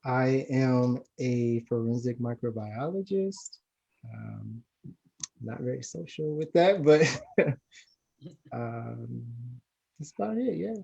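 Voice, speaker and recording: A man from Ohio introducing himself on a video call, quiet and reserved. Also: mid-range voice, lively intonation, slow pace, gravelly, dull audio. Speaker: guest. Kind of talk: casual video-call chat.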